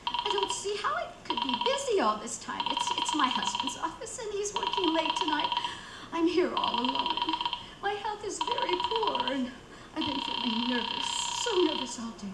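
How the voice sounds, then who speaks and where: A woman's voice: high-pitched, very animated, moderate pace. A woman playing a nervous, sickly, fretful wife who stammers and repeats herself, in old-time radio suspense style. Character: anxious, high-strung, sickly wife home alone. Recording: roomy echo, faint background noise.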